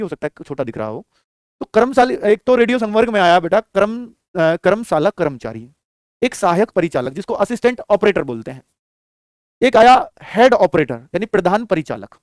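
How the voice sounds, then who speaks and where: A man's voice: sits high, big pitch swings, fairly quick, a little mellow, no echo, studio quality. A man teaching exam students, explaining in a familiar way and often answering his own questions. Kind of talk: lesson on job vacancies addressed to students.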